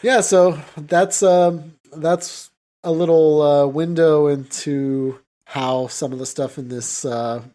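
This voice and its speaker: A man's voice: a little high, fairly even intonation, slow, hardly any pauses, warm tone. A man co-hosting a podcast in casual, understated, hesitant conversation. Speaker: podcast co-host. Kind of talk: casual chat.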